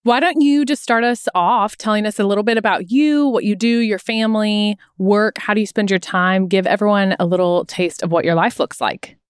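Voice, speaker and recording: A woman's voice: mid-range voice, normal speed, few pauses, clean tone, no echo, studio quality. A woman from Missouri co-hosting a podcast, playful and teasing as she asks questions. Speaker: podcast co-host. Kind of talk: casual podcast chat.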